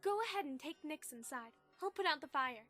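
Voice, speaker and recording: Woman playing very high, teasing pony princess. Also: animated, fairly quick, music in the background. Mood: teasing, easygoing. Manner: playful, teasing. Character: teasing, easygoing young female pony princess. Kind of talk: amateur audio drama acting.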